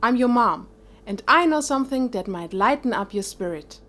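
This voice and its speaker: A woman playing a gentle but firm mother in an audio drama, reassuring and earnest. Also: on the high side, expressive, moderate pace. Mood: encouraging. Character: gentle, encouraging, firm middle-aged mother.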